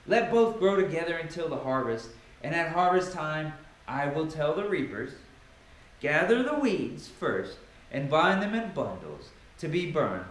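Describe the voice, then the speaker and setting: In a heavily reverberant room with a faint noise floor, a man reads scripture aloud. His fairly high voice swings widely in pitch, and he delivers the verses theatrically, with emphatic pauses. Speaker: Bible reader. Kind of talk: dramatic scripture reading with informal asides.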